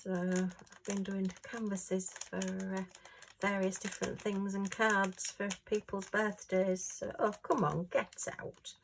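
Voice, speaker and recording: A woman chatting casually at home while she works on a craft, rambling and homely with lots of fillers, her voice a little hoarse. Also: mid-range voice, flat delivery, slow pace, a little hiss or hum, rough recording. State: slightly hoarse. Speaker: crafting vlogger.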